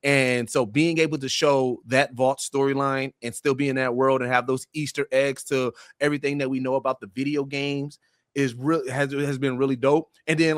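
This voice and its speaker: A man's voice: mid-range voice, fairly bright tone, no echo. Man chatting casually, close dry sound. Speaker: podcast panelist. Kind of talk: casual panel chat.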